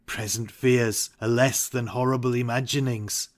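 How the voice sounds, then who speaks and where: An actor playing an ambitious, brooding warrior thane in a dramatic Shakespeare reading. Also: male voice, mid-range voice, steady tone, unhurried, close to an everyday voice. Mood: brooding, troubled.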